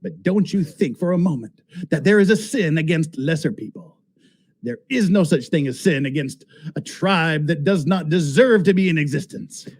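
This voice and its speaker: High-pitched, husky man reading aloud. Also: normal speed. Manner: amused, often breaking into laughter as he reads. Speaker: reader and commentator. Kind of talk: dramatic reading of a written text with amused asides.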